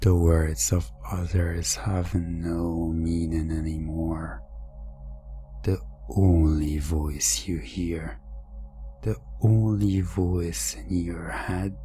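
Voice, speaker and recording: A man narrating a scripted hypnosis ASMR story in a hushed, deliberate, dramatic manner. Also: low-pitched, level tone, soft background music. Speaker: narrator of a hypnosis audio roleplay.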